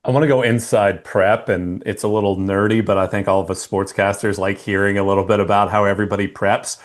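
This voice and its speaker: A male interview host with a lowish voice asks friendly, conversational questions about a sportscaster's work habits. He speaks casually and easily. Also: steady tone, fairly quick.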